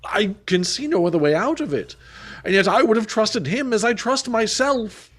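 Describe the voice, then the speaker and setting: Nervous, high husky male clerk, acted out. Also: lively intonation, normal speed. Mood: distraught. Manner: hesitant, agitated. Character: thin, gruff, nervous middle-aged male senior clerk. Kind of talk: radio play acting.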